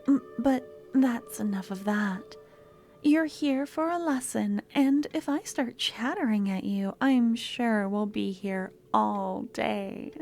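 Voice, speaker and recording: A woman playing an eager, clingy young student with a secret obsession in an ASMR roleplay, speaking softly and often slipping into breathy whispers. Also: on the high side, slow, soft background music. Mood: gentle, instructive. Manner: soft-spoken, often dropping to breathy whispers. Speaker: lab guide teaching embalming.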